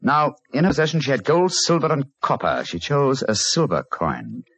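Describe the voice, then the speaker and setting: A man's voice: medium pitch, huge pitch swings, moderate pace, a bit bright, husky, no echo, exaggerated voice. Incisive British male detective, theatrical and polite, for radio drama; dry, dull vintage sound. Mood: deductive, musing. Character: incisive, self-assured English male detective. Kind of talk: old-time radio drama acting.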